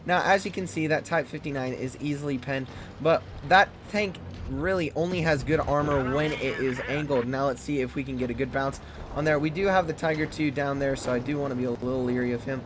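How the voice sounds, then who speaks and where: A man hosting a gaming channel, reviewing a tank game in loose, fast, chatty commentary. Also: mid-range voice, noisy background.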